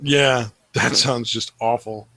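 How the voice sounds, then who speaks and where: A man's voice: medium pitch, steady tone, slow pace, creaky, sharp tone, dry recording. A man co-hosting a podcast in casual comic chat, chipping in with wry, joking remarks. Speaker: podcast co-host.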